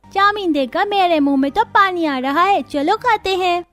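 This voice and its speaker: A woman voicing a greedy, excited child in a cartoon story, gushing and exclaiming over food. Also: very high-pitched, moderate pace. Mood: excited, craving. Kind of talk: Hindi cartoon story dialogue.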